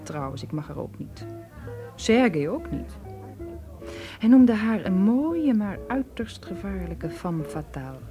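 A woman playing a candid young woman in a radio drama, conversational and gently wry. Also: medium pitch, sing-song, measured pace, soft background music. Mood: teasing, intimate. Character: candid, teasing young woman, evasive when questioned. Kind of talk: naturalistic Dutch radio play acting.

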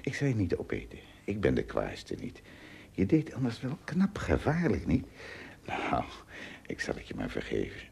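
An actor playing a good-natured, peaceable shipwrecked giant in a radio play, with a husky, gravelly voice and an animated, theatrical delivery. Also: male voice, low-pitched, normal speed, full character voice. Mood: reassuring.

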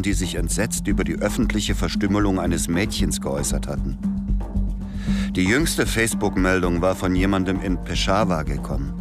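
Very deep-voiced actor as sober operative narrator. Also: male voice, natural voice. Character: tough Western male operative narrating his search. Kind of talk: first-person radio play narration. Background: loud music.

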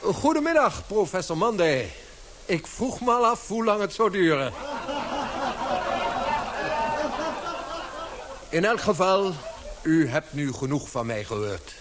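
A man's voice: sits high, very animated, normal speed, a bit bright, a little hiss or hum. A man acting a formal, courteous but firm British society chairman in a radio play, speaking in an oratorical manner. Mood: formal, dry.

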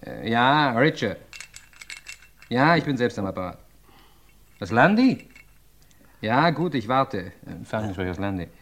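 An actor playing a lawyer who reasons aloud in a measured, deliberate way in an old radio play. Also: male voice, mid-range voice, sing-song, fairly bright tone. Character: thoughtful middle-aged male lawyer sleuth, measured.

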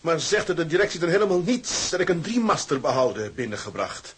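Radio drama seaman: blunt, hot-tempered, husky, highly animated delivery. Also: brisk, exaggerated voice. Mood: outraged. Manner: blunt, terse. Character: gruff, hot-tempered working seaman, a ship's mate. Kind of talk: Dutch radio drama acting.

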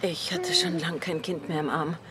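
A woman's voice: lowish voice, big pitch swings, normal speed. An actress playing a lonely, self-doubting single woman, hesitant and subdued, in a radio drama. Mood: wary, wistful. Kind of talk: German radio crime drama acting. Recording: clear background noise, vehicle ambience.

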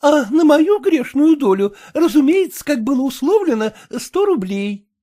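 An old aunt who wheedles for presents in a high, swooping, folksy voice, as a male reader puts her on. She coaxes with every phrase. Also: big pitch swings, normal speed, mellow. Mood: coaxing. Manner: coaxing, folksy. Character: nagging old woman matchmaker aunt, wheedling.

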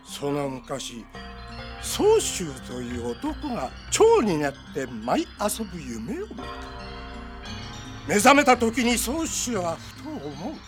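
An actor playing an old, cryptic homeless man, gently teasing and musing in old-fashioned speech, in an anime radio drama. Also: high-pitched, wildly swooping pitch, music in the background, exaggerated voice. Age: older. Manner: old-man dialect, musing, deliberate delivery.

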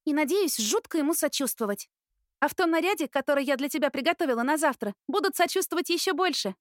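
Woman voicing a bubbly, very high-pitched younger sister. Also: fast talker, no room sound, clean studio sound. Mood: teasing, admiring. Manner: excitable, girlish chatter. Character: bubbly, chattering, stubborn young student sister. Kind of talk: audiobook character acting.

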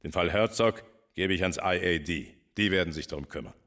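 An actor playing a stern, commanding police chief in a German radio crime drama, curt and authoritative. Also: male voice, low-pitched, brisk, a bit bright.

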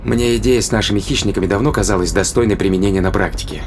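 Insistent low-voiced man, played by an actor. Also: fast, a little hiss or hum, close to an everyday voice. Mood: agitated, persuasive. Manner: insistent, persuasive, full of rhetorical questions. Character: determined risk-taking man pleading a desperate plan. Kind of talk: dramatic audio play acting.

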